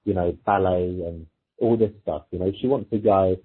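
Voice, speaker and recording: A very husky, rough-edged male voice comes through a thin, phone-like recording. He speaks as a coach, explaining things informally in a conversational way and illustrating them with example dialogue. His pitch sits on the low side. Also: moderate pace. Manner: informal, explanatory, illustrates points with quoted exchanges. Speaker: dating coach. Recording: muffled phone-like audio, thin and lo-fi.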